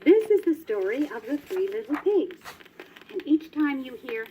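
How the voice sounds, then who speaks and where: A woman's voice: sits high, very animated, normal speed, dark tone. A woman narrating a scripted children's storybook in a 1950s record style. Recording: dull, crackly old vinyl record.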